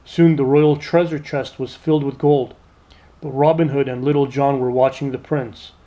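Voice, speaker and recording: A man narrating a children's storybook aloud in an expressive, scripted read-aloud style. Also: medium pitch, moderate pace, rough recording.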